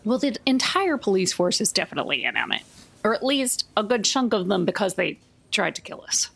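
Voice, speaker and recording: A woman's voice: medium pitch, very animated, a little fast, gravelly, a bit bright, husky. Female tabletop player, mid-range voice, casual in-game discussion.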